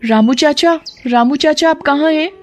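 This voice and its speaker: A woman's voice: high-pitched, moderate pace, a little mellow, soft background music, voice pushed up, lightly acted. A woman voicing a brave, clever young village girl in a cartoon story, raised and urgent. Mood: alarmed.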